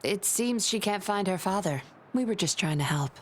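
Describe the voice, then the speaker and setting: An actress playing a cool, sly undercover woman officer in a video game, composed, teasing and smooth-talking. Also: medium pitch, expressive, moderate pace, slight background noise, lightly acted. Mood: smooth, reassuring.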